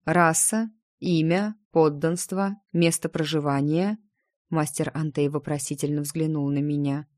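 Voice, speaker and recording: Low-voiced woman reading a story aloud. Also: steady tone, a little slow, a little mellow, no echo. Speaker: audiobook narrator. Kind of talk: scripted first-person fiction narration with acted dialogue.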